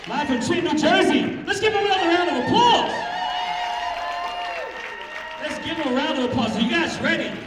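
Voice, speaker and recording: A man's voice: very high-pitched, lively intonation, quick pace. A man speaking as master of ceremonies at a live stage event, rousing the audience with church-style exhortation and call-and-response. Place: large echoey hall with live audience. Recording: echoey hall from far off, crowd noise.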